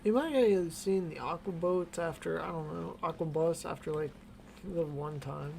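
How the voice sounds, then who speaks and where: A man's voice: a little high, moderate pace, creaky, a little mellow. A man giving casual, slangy, irreverent commentary while he plays a video game. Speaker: game streamer. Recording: noisy background.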